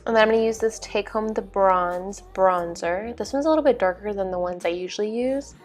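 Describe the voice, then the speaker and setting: A woman's voice: medium pitch, brisk. A young woman casually narrating her makeup routine in an informal, chatty way. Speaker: vlog host. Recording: music behind the voice. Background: music.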